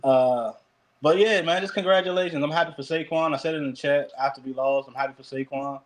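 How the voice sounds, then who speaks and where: A man co-hosting a sports podcast, talking casually in a wry, self-mocking way with halting restarts. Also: fairly high pitch.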